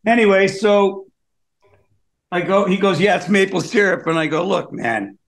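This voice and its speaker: A man's voice: high-pitched, moderate pace, creaky, fairly bright tone. A man from Canada, a comedian guest on a video call, telling wry, complaining stories in a casual interview.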